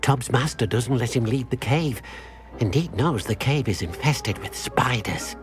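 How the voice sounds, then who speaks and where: An actor playing a timid, kindly house-elf servant in a video game, hesitant and deferential, speaking of himself in the third person. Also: male voice, medium pitch, expressive, a little slow, close to an everyday voice. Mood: hesitant, earnest. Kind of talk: video game character dialogue. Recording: music behind the voice.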